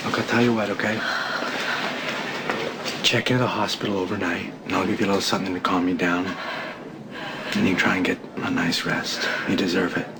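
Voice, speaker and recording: A man as a calm hospital doctor in a television soap. His voice is very deep and gently reassuring, a little hesitant, under very loud background noise. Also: fairly quick, long pauses. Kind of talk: TV soap opera acting. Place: indoor hospital set.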